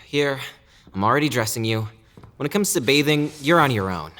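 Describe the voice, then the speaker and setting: A man playing an awkward, apologetic attendant to a noble in an audio drama, speaking haltingly. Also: mid-range voice, animated, a bit bright, lightly acted. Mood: concerned.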